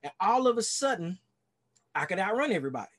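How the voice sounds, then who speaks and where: High-voiced Texan man telling his story. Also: sing-song, fast, fairly bright tone. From Dallas, Texas. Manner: earnest, rambling, many "you know"s and restarts. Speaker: pastor and podcast guest. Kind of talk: casual interview storytelling. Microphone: video call.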